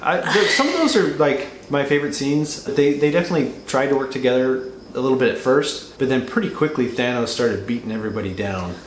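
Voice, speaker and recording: A man in a casual movie discussion, feeling out his points with hesitant, halting phrasing. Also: medium pitch, animated. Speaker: film reviewer. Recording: echoey room with faint noise.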